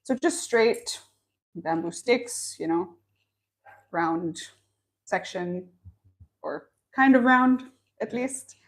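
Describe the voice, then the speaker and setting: Young woman, live-stream host, friendly and chatty, mid-pitch, small office. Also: expressive, extremely slow, pauses often. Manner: chatty, upbeat, reads chat comments aloud. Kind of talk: friendly live-stream introduction and craft tutorial. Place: small office at a bamboo factory.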